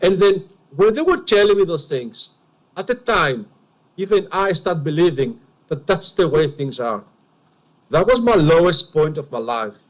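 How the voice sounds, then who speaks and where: A man's voice: fairly high pitch, lively intonation, measured pace, poor audio. A man with a heavy Greek accent, a native Greek speaker, giving earnest, emphatic testimony as a witness at an enquiry. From Greece.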